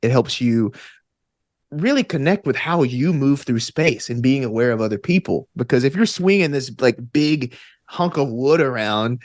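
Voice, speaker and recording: A man's voice: mid-range voice, expressive, moderate pace, no echo, studio quality. A man in his twenties with an Alabama Southern accent, chatting casually and enthusiastically in informal, slangy language as an interview guest. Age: 20s. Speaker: guest, actor and stage combat student.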